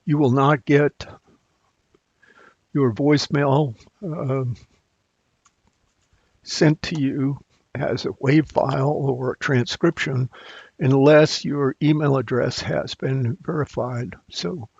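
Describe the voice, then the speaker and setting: A man with a gravelly, raspy voice walks through a software tutorial. He takes his time, thinking aloud and halting between phrases as a support presenter working off the cuff. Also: mid-range voice, steady tone, dry recording, dull audio. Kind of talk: unscripted software screencast walkthrough.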